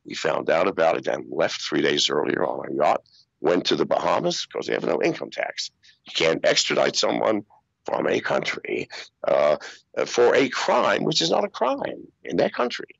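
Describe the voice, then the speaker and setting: An older man telling stories in an interview over a video call, swearing freely and talking in an animated way. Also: low-pitched, moderate pace, gravelly, husky, no echo, highs cut off. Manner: profane, animated storytelling with laughs. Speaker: interview guest. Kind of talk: casual interview storytelling. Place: small room with acoustic foam walls. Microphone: video call wearing over-ear headphones.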